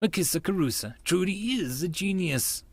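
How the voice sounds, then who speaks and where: A man's voice: high voice, expressive, normal speed, no room sound, voice pushed up. A man playing a proud, skeptical young man who is easily flustered, telling his own story in an audiobook. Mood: grudging, mortified.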